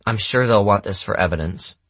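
A man's voice: lowish voice, fairly quick, a bit bright, dry recording, pitched above the performer's own voice. A man voicing a wry, nonchalant rich teenage girl for an audiobook, with sardonic, slangy casual phrasing.

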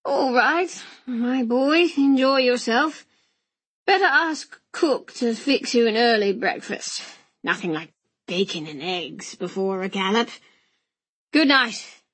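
A woman's voice: on the high side, expressive, slow pace, fairly bright tone, husky, full character voice. A woman voicing a hearty, avuncular older upper-class uncle in an audiobook. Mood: genial.

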